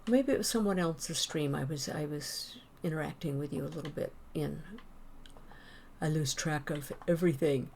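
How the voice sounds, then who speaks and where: A woman streaming a game, chatting casually with viewers and rambling as she thinks out loud. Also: deep voice, normal speed, vocal fry, a little hiss or hum. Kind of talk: casual gameplay chat with viewers.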